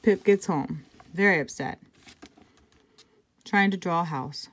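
A woman reading a picture book aloud to children, conversationally, pausing for casual asides and check-in questions. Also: fairly low pitch, slightly rough. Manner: conversational, pointing things out and asking check-in questions. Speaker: intervention specialist reading to children.

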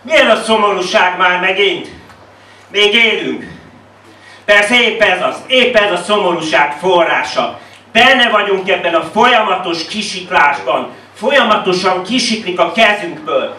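A man's voice: high-pitched, sharp tone. Man, slam poet, declamatory delivery, repetitive rhetorical phrasing, echoey room, distant mic. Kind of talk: live slam poetry recitation. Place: indoor live poetry venue. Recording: roomy echo, distant microphone.

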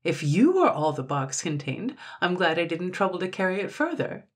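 A woman's voice: on the low side, very animated, moderate pace, slightly rough. A woman voicing a soldier's young son in a storybook, bold, cheeky and forthright. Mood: unimpressed. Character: young, hungry, plain-spoken soldier's son. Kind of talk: storybook character voice.

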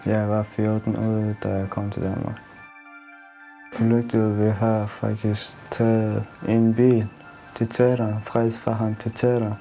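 A young man from Afghanistan telling his story haltingly in plain phrases. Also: lowish voice, completely flat delivery, warm tone, soft background music. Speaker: young refugee interviewee.

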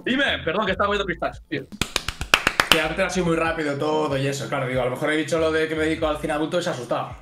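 Young man as cocky suitor, rambling and fast, slightly high voice, music under it. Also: roomy sound, close to an everyday voice. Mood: casual. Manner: rambling, relaxed boasting. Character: cocky young man posing as an adult film actor. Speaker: suitor on a dating stream. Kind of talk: improvised comic role-play.

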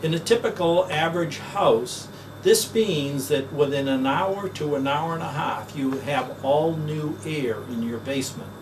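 An older man pitching his own product in a plain, folksy way with frequent "uh"s, demonstrating it indoors. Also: measured pace. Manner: plain folksy sales talk with filler "uh"s. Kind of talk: promotional demonstration. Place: office, then a basement demo wall indoors. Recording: distant mic with audible fan hum.

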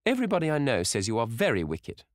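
A man voicing an excitable elderly society hostess who gushes and scolds in high exclamations. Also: fast, dry recording, studio quality. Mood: incredulous. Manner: gushing, scolding, shrill exclamations.

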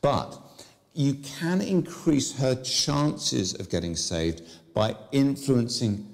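A middle-aged man calmly explaining in a filmed conversation, measured and seated. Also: medium pitch, creaky. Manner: measured, explanatory, gesturing while he talks. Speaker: guest in a filmed discussion. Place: seated in a studio set, played back in a church. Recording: video clip with long hall reverb.

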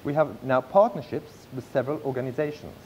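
A man in his thirties presenting a business pitch from a script on stage, with occasional slips and restarts. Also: quick pace, a little mellow, a little hiss or hum, low-quality recording. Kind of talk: read-aloud business pitch. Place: large theatre stage.

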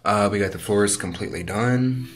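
A man's voice: on the low side, moderate pace. A man filming a do-it-yourself cabin build and talking casually, with plenty of "uh" and "um" fillers. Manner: relaxed, lots of uh and um fillers. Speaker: vlogger filming a DIY build. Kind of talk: casual home video narration. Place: backyard, then inside a small wooden cabin.

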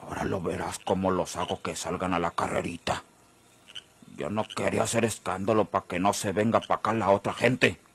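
Gravelly, menacing Mexican ranch boss. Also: male voice, low-pitched, steady tone, normal speed, fairly bright tone, exaggerated voice. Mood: tense, plotting. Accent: rural northern Mexican. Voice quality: gravelly, husky. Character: menacing, suspicious rural Mexican boss on a manhunt. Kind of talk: old Mexican radio serial acting.

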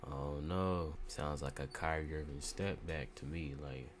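A man's voice: extremely low pitch, steady tone, a little slow, a little hiss or hum. A young man giving casual, slangy reaction commentary, often repeating himself as he mocks what he watches. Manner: slangy, repetitive, mocking. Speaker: reaction streamer. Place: small room at home. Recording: anime soundtrack playing behind.

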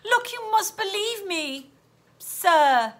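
A woman's voice: very high-pitched, big pitch swings, slow, bright, forceful tone, voice pushed up, exaggerated voice. A woman voicing an anxious, polite young girl in a children's storybook, speaking in a hesitant, earnest, pleading way. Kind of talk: children's storybook read-aloud.

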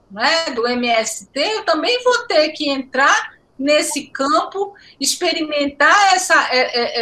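High, bright-voiced woman professor from Pará. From Pará, Brazil. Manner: reflective, explanatory, repeats words while searching. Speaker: education professor, guest. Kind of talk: academic interview answers. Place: home room indoors. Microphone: laptop webcam on video call.

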